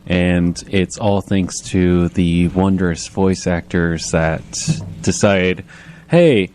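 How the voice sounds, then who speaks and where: A man chatting casually as a radio guest who makes audio drama, explaining things in a rambling, halting way. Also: low voice, darkish tone, a little hiss or hum.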